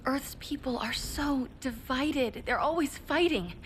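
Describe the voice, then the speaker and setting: Young idealistic alien heroine, game drama; high-pitched, headstrong. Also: female voice, measured pace, a little hiss or hum. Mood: pleading, restless. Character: young alien superheroine, defiant, restless, idealistic. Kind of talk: video game cutscene acting.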